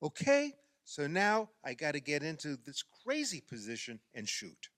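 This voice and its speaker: A man's voice: a little high, wildly swooping pitch, normal speed, fairly bright tone, dry recording. An actor in a radio play playing an exasperated man who coaxes and explains insistently, often stammering. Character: exasperated man coaxing a woman through a playground basketball game.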